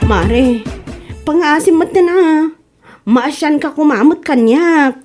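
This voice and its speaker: A fretful, gossipy wife acted out by a woman in an Ilocano radio comedy. Her voice sits high and she whines and pleads with plenty of exclamation. The accent is Ilocano. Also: takes it slowly, music in the background. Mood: pleading. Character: gossiping, fretful, nagging wife in trouble with neighbours.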